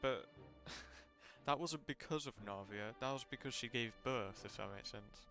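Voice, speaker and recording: A man giving casual live commentary on a game, halting as he thinks aloud. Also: medium pitch, a bit bright. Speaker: game streamer. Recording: game music behind the voice. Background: video game music.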